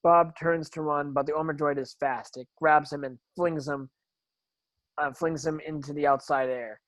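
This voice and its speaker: Higher-pitched man reading stage directions, dry sound. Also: fairly even intonation, normal speed, a bit bright, no room sound. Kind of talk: scripted screenplay narration.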